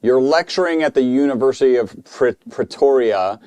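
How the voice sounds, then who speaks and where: A man's voice: mid-range voice, lively intonation, normal speed, darkish tone, no echo. A man hosting a podcast, introducing the show and guest and asking interview questions. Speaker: podcast host.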